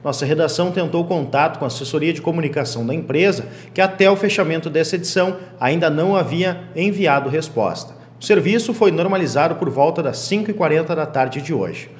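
A young man reading a local news bulletin in a steady, formal way in a small studio. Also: on the high side, a little fast, few pauses, a little hiss or hum. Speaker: news anchor.

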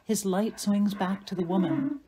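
A woman reading scripted narration and stage directions for an audio play in a measured, atmospheric way. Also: medium pitch, fairly even intonation, over a noisy crowd.

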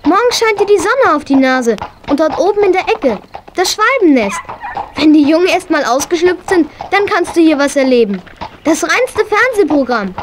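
A woman's voice: extremely high pitch, big pitch swings, moderate pace, slight background noise. An actress playing an eager, affectionate, horse-loving schoolgirl with gushing enthusiasm in a children's radio play. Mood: tender.

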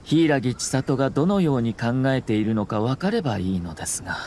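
A man's voice: medium pitch, lively intonation, fairly quick, a little mellow, a little hiss or hum, lightly acted. An actor playing a composed young nobleman in a Japanese video game, speaking formally, politely and with measure. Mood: calm, thoughtful. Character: courteous, composed young nobleman and shrewd commissioner.

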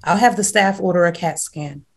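A woman's voice: lowish voice, normal speed, vocal fry. Woman playing an unruffled, dismissive older nurse. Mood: calm, dismissive. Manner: matter-of-fact, placating. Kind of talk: table read of a film script.

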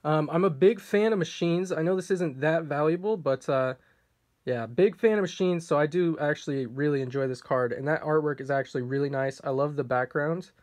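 A man casually narrating a card pack opening off camera, reading out card names, a little hoarse. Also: a little high, fairly quick. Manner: relaxed, reads card names off one by one. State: slightly hoarse, clearing throat. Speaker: card collector opening packs. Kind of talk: casual unboxing commentary. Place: indoors at a table.

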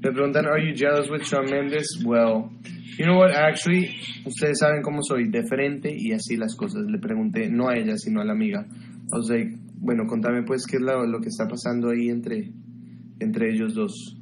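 A young man from Ecuador livestreaming from a small bedroom, talking casually and gossiping as he answers viewers' questions. His voice sits at an ordinary middle pitch for a man. The webcam mic picks up a clear hiss of background noise. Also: steady tone, normal speed. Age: young adult. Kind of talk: casual chat with live viewers. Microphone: webcam mic at desk.

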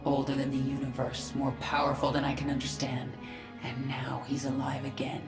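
A woman's voice: rumbling low, monotone, moderate pace. An actress playing a steely, vengeful alien queen in a video game, with an American accent and a cold, resolute manner. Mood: grave, determined. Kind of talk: dramatic video game acting. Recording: echoing cavern, music behind.

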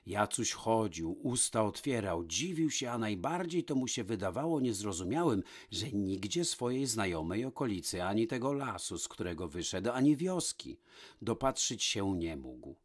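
Low-voiced man reading a fairy tale. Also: sing-song, moderate pace. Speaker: storyteller, narrator. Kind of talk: scripted fairy-tale narration, read aloud.

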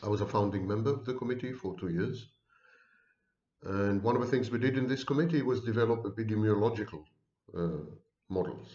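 A man's voice: fairly low pitch, a little fast, darkish tone. Middle-aged man, ironic lecture tone, roomy dull webcam sound. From Israel. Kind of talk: monologue to camera. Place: home room.

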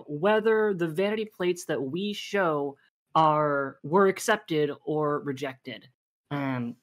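A woman hosting a casual livestream game show, explaining the rules at length with many fillers. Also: fairly low pitch.

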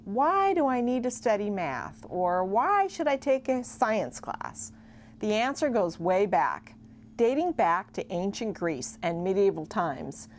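Woman narrating over faint hum. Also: medium pitch. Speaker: college academic advisor. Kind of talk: scripted explainer narration. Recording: faint steady hum and noise.

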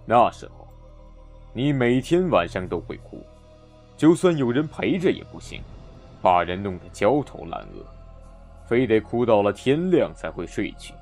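A man's voice: mid-range voice, very animated, unhurried, a little mellow, soft background music. A man playing an older relative in an ancient-era audio drama, who chides the heir and recalls his childhood. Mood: reminiscing. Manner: chiding, reminiscing. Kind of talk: fan audio drama acting.

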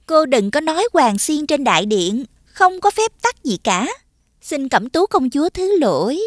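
A woman voicing an outspoken young royal lady in an animated fairy tale, with a pointed, formal way of talking. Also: sits high, measured pace, dry recording, studio quality. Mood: scolding.

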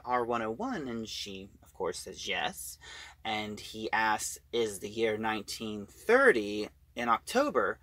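A man giving a casual, halting recap of a story as a reviewer, in an informal way. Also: mid-range voice, bright, forceful tone, dry recording.

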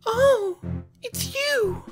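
Eerie, very high little girl spirit. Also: drawn-out words, soft background music, exaggerated voice. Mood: falsely sweet. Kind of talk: fan-dub game parody acting.

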